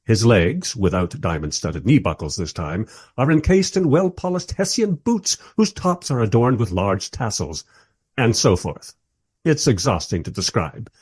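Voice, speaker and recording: A man playing a wry, politely exasperated film director who narrates a radio play in conversational asides with a husky voice. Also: fairly low pitch, darkish tone, no room sound, exaggerated voice.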